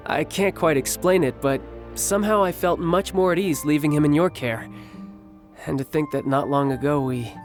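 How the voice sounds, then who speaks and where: An actor playing a confident young warrior and doting older brother in an English video game, courteous and self-assured. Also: male voice, fairly high pitch, lightly acted. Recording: faint background music.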